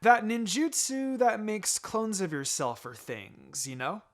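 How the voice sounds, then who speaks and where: Man voicing an excitable little ninja girl, raised pitch. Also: slow pace, heavily acted. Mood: eager. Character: eager, gifted four-year-old ninja girl.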